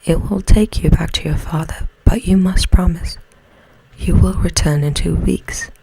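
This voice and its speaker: A gentle, sorrowful cursed beast from a bedtime fairy tale, as whispered by a woman. He speaks slowly and earnestly in a dark, very breathy hush. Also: on the low side, fairly even intonation, exaggerated voice, poor audio. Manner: slow, soft, earnest. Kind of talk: whispered bedtime storybook acting.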